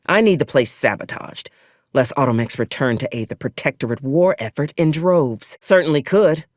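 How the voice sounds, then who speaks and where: Wry ambitious army officer for a game, super animated pitch, folksy old-timey formal talk, ruthless, dry studio sound. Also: female voice, normal speed, no echo. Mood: brusque, commanding. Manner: formal archaic diction mixed with folksy frontier slang. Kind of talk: video game character dialogue.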